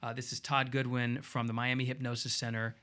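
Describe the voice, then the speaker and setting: A man's voice: mid-range voice, level tone, a little fast, sharp tone. Man hosting a radio talk show.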